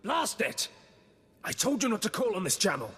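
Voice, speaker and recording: An actor playing a bullying, haughty military sergeant in a video game, barking with contempt. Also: male voice, high voice, big pitch swings, normal speed, fairly bright tone, slightly rough, big-room sound, exaggerated voice. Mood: furious.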